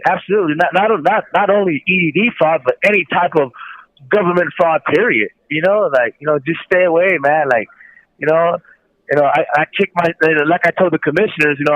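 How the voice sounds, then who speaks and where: Over a narrow, tinny telephone line, a man with a high-pitched voice gives a casual, earnest testimonial. He repeats short phrases for emphasis, and the sound is dry with no room around it. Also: brisk, no room sound. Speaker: guest calling from prison. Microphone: telephone handset. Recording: tinny prison phone line.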